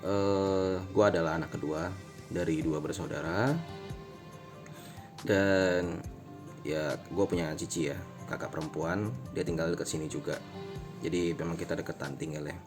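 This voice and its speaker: A man's voice: sits low, steady tone, normal speed, long pauses, music in the background. A man in his late twenties from Indonesia chatting casually in a personal motovlog, rambling, correcting himself and chuckling at his own jokes. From Indonesia, born in Medan. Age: late 20s. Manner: informal Jakarta slang. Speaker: vlogger. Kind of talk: casual personal vlog talk. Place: riding on a motorbike through traffic, out of doors. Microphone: motovlog helmet camera.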